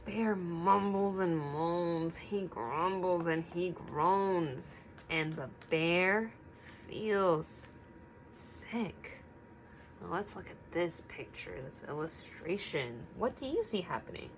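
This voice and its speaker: A woman teacher reading a picture book aloud to young children, instructive and deliberate, stopping to put questions to them. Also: fairly low pitch, a little hiss or hum, poor audio.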